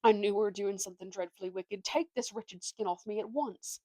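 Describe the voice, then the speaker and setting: A woman's voice: medium pitch, brisk, warm tone, dry recording, lightly acted, rough recording. An anxious, simple-hearted storybook donkey, acted out by a woman reader, speaks with earnest worry. He is fretful and plain-spoken, in a dry recording with little room sound. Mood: alarmed. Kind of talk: storybook read aloud.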